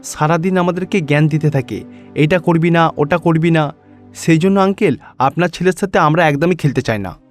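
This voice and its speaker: Mischievous cartoon playmate boy man acting, fairly high, blunt and grumbling, over faint music. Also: moderate pace, close to an everyday voice. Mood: complaining. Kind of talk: cartoon story dialogue.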